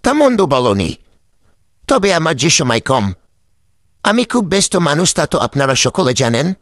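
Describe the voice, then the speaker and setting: Witty old cartoon sage, grumbly and teasing, up and down a lot, dead dry sound. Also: male voice, fairly high pitch, very animated, normal speed, a bit bright, dry recording. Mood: wry. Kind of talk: cartoon voice acting.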